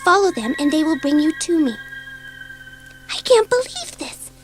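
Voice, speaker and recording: Excitable, high-voiced schoolgirl. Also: moderate pace, soft background music. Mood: excited. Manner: breathless, eager, self-dramatising. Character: bored, impulsive, excitable schoolgirl dabbling in magic. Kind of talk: dramatised children's audiobook.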